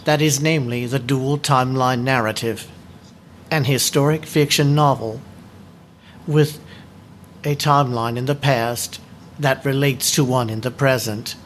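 Male book club presenter, mid-range voice, measured and explanatory, faint background noise. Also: mid-range voice, fairly even intonation. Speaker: book discussion co-host.

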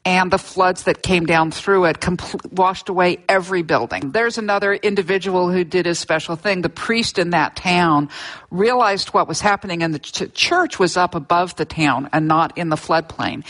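An older woman from Southern California, a scientist guest telling disaster history in a relaxed interview, with frequent restarts. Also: on the low side. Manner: explanatory, with frequent restarts and fillers. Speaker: seismologist guest discussing her book.

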